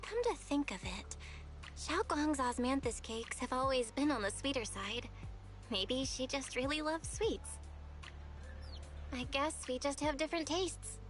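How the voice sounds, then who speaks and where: A woman's voice: high-pitched, lively intonation, a little slow. An actress playing a friendly, encouraging young woman in a video game, easygoing and appreciative. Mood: musing. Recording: music playing clearly behind the voice.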